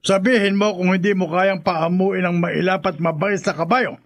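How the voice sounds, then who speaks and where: A man's voice: high voice, steady tone, normal speed. A man playing a wealthy, domineering older hacienda owner and doting grandfather, with a Filipino accent, in a radio drama. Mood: stern, threatening.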